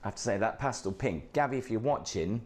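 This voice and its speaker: A man with a croaky, hoarse voice from the flu, giving an enthusiastic, chatty tour of a hotel room. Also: fairly low pitch, expressive, fast. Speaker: hotel reviewer.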